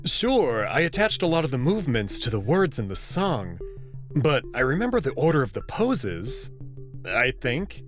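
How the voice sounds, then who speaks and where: A man reading a visual novel aloud in the first person, expressive and prone to sudden outbursts. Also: medium pitch, moderate pace, faint music behind.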